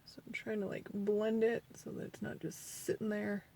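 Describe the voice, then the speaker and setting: A woman's voice: medium pitch, little pitch movement, normal speed, gravelly. A woman in her forties reviewing a foundation in a chatty, rambling way, a bit sniffly from allergies. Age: 40s. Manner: chatty, rambling, self-deprecating; quieter and mumbling while applying makeup. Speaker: beauty vlogger. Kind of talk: casual makeup review.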